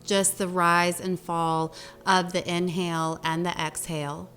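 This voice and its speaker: A woman leading a guided meditation as a teacher, speaking gently in short phrases with long pauses between them. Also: on the low side, level tone, slight background noise. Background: meditation music.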